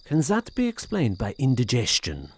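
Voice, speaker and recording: An actor playing a tormented, anxious French gentleman in an audio horror drama, speaking formally with hesitant, brooding, theatrical delivery. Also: mid-range voice, wildly swooping pitch, normal speed. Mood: haunted brooding. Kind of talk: audio horror drama acting, period literary diction.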